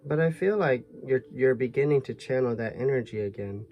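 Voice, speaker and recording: A man giving a tarot reading to viewers in a soft, musing way, his voice a little hoarse. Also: medium pitch, animated, a little fast, muffled recording, faint music behind. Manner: soft, musing, thinks aloud with fillers. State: slightly hoarse, losing his voice. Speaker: tarot reader.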